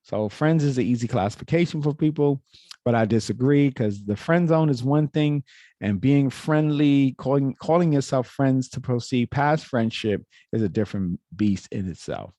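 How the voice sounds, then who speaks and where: A man's voice: medium pitch, animated, moderate pace, mellow, no echo. A man hosting a reaction video, giving his opinions in a lecturing way with frequent restarts and self-corrections. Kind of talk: casual opinionated commentary.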